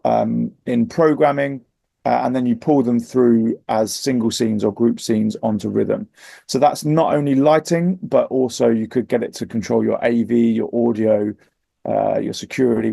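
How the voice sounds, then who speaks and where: A man's voice: mid-range voice, creaky, dark tone, no room sound. A man giving an informal product presentation in a matter-of-fact, explanatory way.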